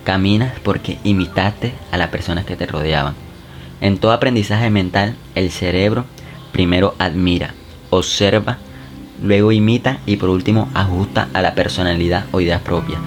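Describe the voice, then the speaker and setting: A man's voice: deep voice, normal speed. A man hosting a self-help podcast, coaching the listener directly in a casual, encouraging way. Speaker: podcast host. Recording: music bed behind the voice.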